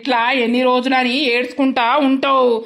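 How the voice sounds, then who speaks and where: A woman's voice: high voice, level tone, a little fast, creaky, fairly bright tone. An actress playing a scolding village woman. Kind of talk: village drama acting.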